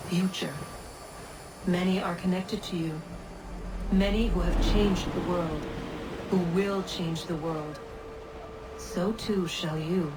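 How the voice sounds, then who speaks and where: A woman voicing a solemn, commanding guiding spirit in a video game, speaking in formal, measured, cryptic pronouncements. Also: on the low side, background noise. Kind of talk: scripted video game cutscene acting. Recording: distant voice under loud music.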